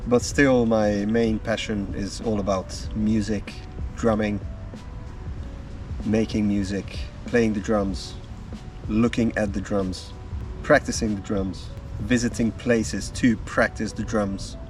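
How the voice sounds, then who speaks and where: A man, talking outdoors in the woods as a drumming vlogger, easygoing and unhurried. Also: fairly low pitch, fairly even intonation, darkish tone. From Slovakia. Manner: slow, easygoing storytelling with small pauses. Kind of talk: casual self-introduction to camera. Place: outdoors in woodland. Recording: distant mic with faint music behind.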